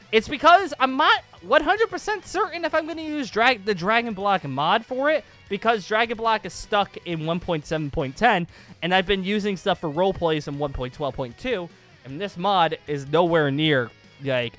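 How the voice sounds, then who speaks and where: A man with a fairly high, very bright voice talks as the host of a gaming channel. He rambles casually and gets emphatic and excitable as he makes his points. Faint music plays behind him. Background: background music.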